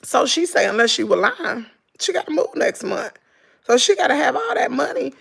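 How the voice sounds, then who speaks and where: Hoarse Southern woman, gravelly voice, lively swooping pitch, gossipy vlog chat. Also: normal speed. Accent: Southern American. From Southern United States. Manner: chatty, gossipy, dramatic exclamations. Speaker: vlogger. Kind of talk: casual chat with viewers.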